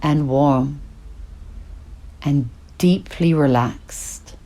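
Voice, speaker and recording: A woman teaching yoga nidra, guiding a relaxation meditation in slow, soothing instructions with long pauses. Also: low voice, dark tone.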